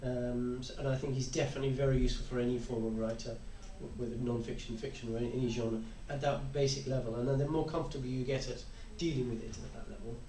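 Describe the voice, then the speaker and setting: A man's voice: medium pitch, steady tone, quick pace, hardly any pauses, warm tone, distant mic. A man on a panel giving casual, practical advice from his own experience. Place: indoor convention panel room. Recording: echoey room from off mic, faint noise.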